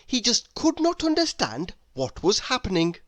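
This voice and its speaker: A woman reading a children's storybook aloud as an animated narrator. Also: a little high, normal speed, no echo. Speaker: storybook reader.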